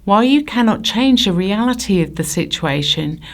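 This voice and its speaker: A woman reading scripted informational narration in a measured, formal manner. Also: medium pitch, animated.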